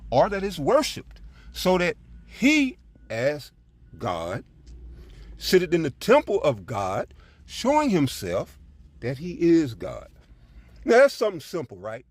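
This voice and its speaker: Male scripture teacher, informal and emphatic, hugely varied intonation, raspy edge. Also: a little high, wildly swooping pitch, slow pace, pauses often, no room sound. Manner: emphatic, frequent "all right" check-ins. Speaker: preacher and presenter.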